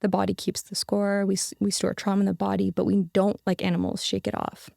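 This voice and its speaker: A young woman shares a personal story on a podcast, earnest and full of fillers and restarts. Her mid-pitched voice is warm and mellow with a gravelly edge. The sound is dry and close. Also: level tone, fairly quick, no echo. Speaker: podcast guest. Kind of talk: casual reflective storytelling.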